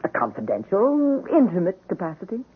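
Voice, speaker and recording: An actress playing a cruel, haughty, seductive rich wife in a 1970s radio drama, speaking in a formal, imperious, deliberate way. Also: female voice, mid-range voice, wildly swooping pitch, husky. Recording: muffled, narrow-band old radio broadcast.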